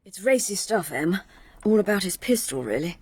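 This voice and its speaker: An actor playing a British academic expert with a husky voice and a wry, understated manner in a radio drama. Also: male voice, sits high, very animated, normal speed, a little mellow, no echo, exaggerated voice.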